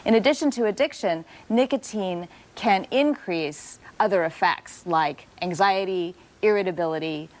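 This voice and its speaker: A woman doctor answers interview questions in a calm, measured, explanatory way. Her voice sits on the lower side, and she lays out facts clearly for a general audience. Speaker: doctor, expert guest. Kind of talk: expert interview.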